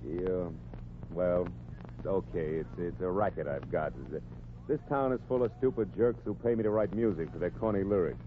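An actor playing a cynical, glib, wheedling small-time swindler in a 1940s radio comedy. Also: male voice, low-pitched, normal speed, close to an everyday voice. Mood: grudging, cynical. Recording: muffled, noisy old radio recording.

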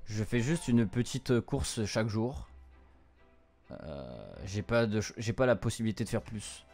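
A man's voice: lowish voice, sing-song, a little slow, darkish tone. A young man doing casual playthrough commentary, informal and hesitant as he translates game text aloud on a close streamer mic. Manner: informal, hesitant, stumbling while translating on the fly. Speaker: streamer, let's-play host. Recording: music behind the voice. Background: game music.

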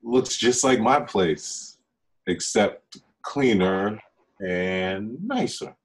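Actor as a deep, husky, smug seducer. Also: male voice, lively intonation, drawn-out words, heavily acted. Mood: casual, flirty. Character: smug, teasing male seducer, smooth and unhurried. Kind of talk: film script table read, naturalistic acting.

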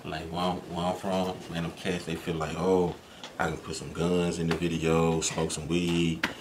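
A man's voice: low-pitched, completely flat delivery, normal speed. A young man chatting casually in a small studio, laid-back and agreeable with streetwise slang. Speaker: host. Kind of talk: casual conversation. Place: small studio room with purple lighting. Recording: noisy background hiss.

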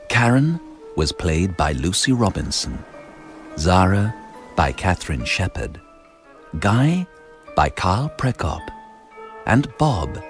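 An actor narrating a radio drama in a measured, deliberate, scripted manner. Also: male voice, low voice, heavily acted. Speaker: narrator and closing announcer.

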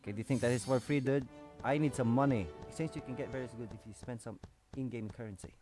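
A man's voice: medium pitch, quick pace. A man hosting a gaming video with casual, off-the-cuff commentary, swearing in an exasperated, sarcastic way. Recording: music behind the voice.

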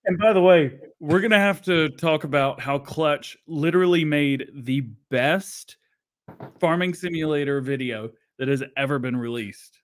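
A man's voice: on the high side, unhurried. A man co-hosting a casual livestream from a home room, joking and laughing often into a studio mic. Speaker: co-host. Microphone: studio mic on arm.

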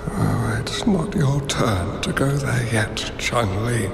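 Game cutscene: wise, gentle old hermit master; gravelly, unhurried, with a long echo. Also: male voice, medium pitch. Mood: solemn. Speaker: mentor character. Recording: roomy reverb under game music.

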